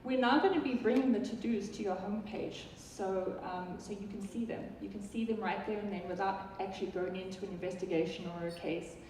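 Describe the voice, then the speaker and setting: A woman's voice: mid-range voice, fairly quick, hardly any pauses, darkish tone, slight background noise. A woman from South Africa with a South African accent, giving a chatty live product talk to an audience, with many ums and restarts. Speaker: software product presenter. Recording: echoey hall from far off.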